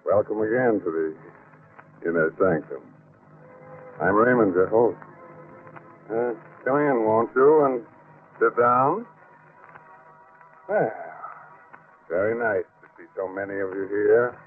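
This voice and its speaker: An actor playing an eerie, mock-cordial horror host in theatrical 1940s radio narration, on a muffled old recording. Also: male voice, on the low side, animated, takes it slowly, long pauses, soft background music. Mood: mock-cordial, sinister. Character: eerie genial horror host welcoming listeners.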